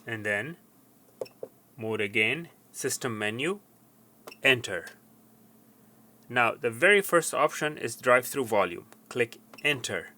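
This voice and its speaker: A man presents an informal technical how-to in a mid-pitched, clearly bright voice. He explains things step by step, with a slightly halting delivery as he instructs. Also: animated, a little hiss or hum. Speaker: technician giving tutorial.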